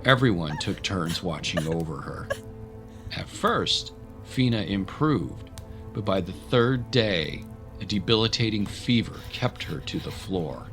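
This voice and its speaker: A man with a low voice narrates an audio drama. His delivery is scripted, dramatic storytelling, and music plays clearly behind him. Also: expressive, a little slow.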